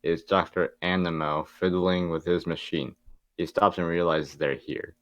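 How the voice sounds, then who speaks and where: A man with a low voice reads stage directions for a table read. He is informal and stumbles over the wording now and then, in a dry, close recording. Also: little pitch movement, normal speed, fairly bright tone, dry recording, lo-fi sound. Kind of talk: reading script stage directions aloud.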